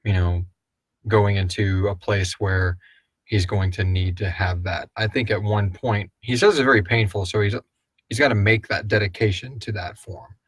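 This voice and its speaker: A man hosting a casual question and answer show, talking like an animated storyteller. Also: on the low side, moderate pace, breathy edge, dry recording. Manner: animated storyteller, acts out quotes, swears casually. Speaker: host of an audio drama Q&A show. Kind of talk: casual fan Q&A chat.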